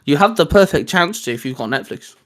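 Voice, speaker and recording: Guy hosting a nerdy chat, voice on the higher side, kinda rambly, repeats himself a bit. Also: lively intonation, fairly quick, a bit bright. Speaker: host. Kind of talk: casual fan discussion chat.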